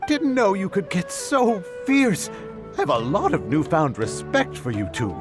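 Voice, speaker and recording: An actor voicing an impressed male theater troupe member in a video game, animated and admiring. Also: high voice, unhurried, exaggerated voice. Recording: game music playing behind.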